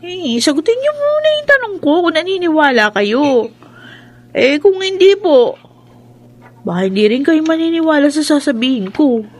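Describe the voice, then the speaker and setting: A young Filipino schoolgirl daughter in a radio drama. Her voice is fairly high, and she speaks in a respectful, soothing, conversational way. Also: big pitch swings, normal speed. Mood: earnest.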